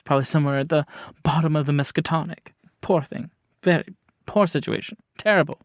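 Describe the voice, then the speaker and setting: A man's voice: on the high side, fairly quick, dry recording, studio quality, natural voice. A man voicing a genteel, haughty society wife in a tabletop game, with airy, affected politeness. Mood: airy, dismissive.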